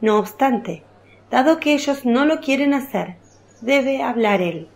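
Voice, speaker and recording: Woman narrating a radio play, scripted story reading, slightly high voice. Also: lively intonation, a little slow.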